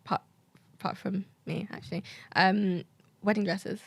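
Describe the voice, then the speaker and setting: A British woman talks as a guest in a casual, candid chat. Her medium-pitched voice has plenty of vocal fry and is captured very dry, with no room sound. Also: fairly even intonation, normal speed, no room sound. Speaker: podcast guest.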